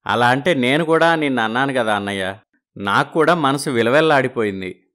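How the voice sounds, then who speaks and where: Man playing a proud village husband, mid-pitched. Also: animated, brisk, a bit bright, natural voice. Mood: remorseful, affectionate. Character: village brothers, proud but soft-hearted husbands. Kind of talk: acted dialogue for animated moral story.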